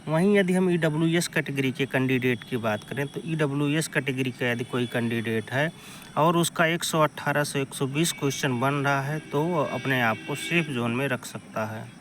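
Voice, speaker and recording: A man's voice: mid-range voice, normal speed, fairly bright tone, a little hiss or hum. A man explaining exam analysis to students in informal, repetitive, step-by-step phrasing. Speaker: exam-coaching presenter.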